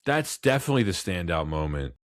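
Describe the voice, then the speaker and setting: A man's voice: low-pitched, sing-song, fairly quick, no echo, clean studio sound. A man co-hosting a film podcast, speaking in casual conversation about movies.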